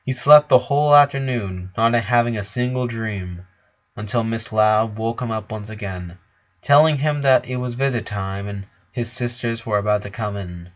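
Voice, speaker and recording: A man's voice: on the low side, normal speed, muffled recording. A man reading a dramatic story aloud as narrator. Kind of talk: dramatic fan fiction narration.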